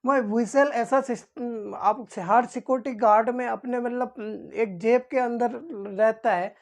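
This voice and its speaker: A woman's voice: fairly high pitch, steady tone, measured pace, a little mellow. A woman explaining things as a teacher, repeating key terms as if dictating them. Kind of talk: explanatory lesson for security guards.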